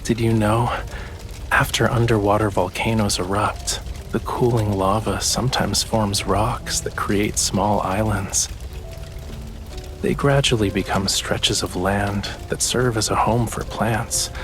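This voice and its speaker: An actor playing a tender, teasing young male artist in a romantic game, speaking in a soft, intimate, unhurried way. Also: fairly low pitch, steady tone, soft background music, close to an everyday voice.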